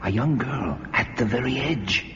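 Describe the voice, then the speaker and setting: An actor playing a devout, excitable warrior archer in a fantasy radio drama, with archaic, dramatic delivery. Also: male voice, medium pitch, a little slow, a little hiss or hum. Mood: awed, grave. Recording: muffled radio recording, echoey hall, music.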